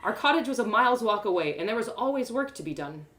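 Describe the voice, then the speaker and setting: A woman narrating a first-person fantasy tale as a storyteller, expressive and playful. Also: fairly high pitch, fast.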